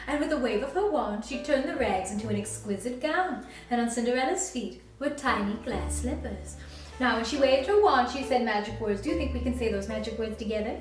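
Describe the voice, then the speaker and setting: A woman's voice: fairly high pitch, expressive, brisk. A woman reading a storybook expressively to young children as a princess character, sweet and encouraging, inviting them to join in. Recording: very echoey, distant mic, faint music.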